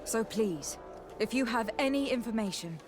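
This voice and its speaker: A woman's voice: a little high, expressive, moderate pace, lightly acted. A woman playing a firm, formal noble legate questioning people in a video game, in an authoritative manner. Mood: composed, formal. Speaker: game protagonist. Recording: music behind the voice.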